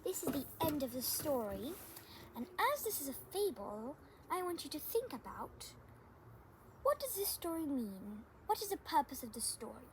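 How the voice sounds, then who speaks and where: A woman's voice: sits high, sing-song, moderate pace, noisy background. A woman reading a story aloud for children as the host of a storytime. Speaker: storybook reader, channel host.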